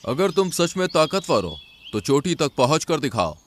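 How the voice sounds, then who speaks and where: A man voicing a wise, patient lion king in an animal cartoon, with a measured, admonishing manner. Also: on the high side, sing-song, a little hiss or hum. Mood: calm, knowing.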